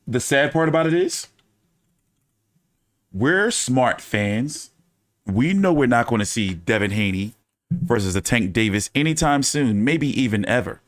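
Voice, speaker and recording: A man's voice: medium pitch, a bit bright, no room sound, clean studio sound. Loose, profane man chatting about boxing. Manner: loose, profane, rambling, repeats phrases. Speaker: boxing news channel host. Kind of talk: casual fan chat commentary.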